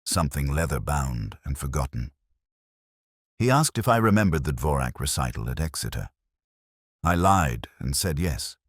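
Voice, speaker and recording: Man acting as weary older detective, very low voice, expressive pitch swings, wry, measured, brooding, audio drama narration, dry close sound. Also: husky, dry recording, exaggerated voice. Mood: reflective. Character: weary, wry, reflective older male Oxford police inspector. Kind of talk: literary first-person audio drama narration.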